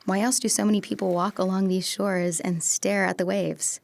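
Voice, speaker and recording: A woman playing a wistful, teasing young woman in love in an audio drama, with soft hushed moments. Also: medium pitch, level tone, moderate pace, vocal fry, natural voice. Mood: wistful, tender. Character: wistful, teasing teenage girl in love, remembering. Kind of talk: audio drama acting, intimate monologue and dialogue.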